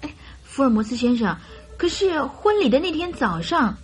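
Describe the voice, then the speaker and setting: High-voiced earnest young bride-to-be. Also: female voice, sing-song, moderate pace, soft background music. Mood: earnest recounting. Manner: hesitant, chatty, naive storytelling. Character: earnest young bride-to-be recounting her missing fiancé. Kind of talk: radio drama acting.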